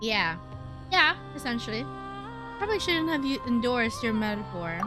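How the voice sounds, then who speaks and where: A woman's voice: a little high, big pitch swings, moderate pace. A woman streaming a game, chatting casually in a playful, informal way. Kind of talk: casual livestream gameplay chat. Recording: game music behind voice.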